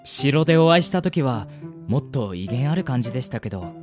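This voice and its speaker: A man's voice: mid-range voice, animated, brisk, lightly acted. A man playing a polite, earnest, worrying healer with formal speech in a comedic radio drama. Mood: mildly worried. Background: music.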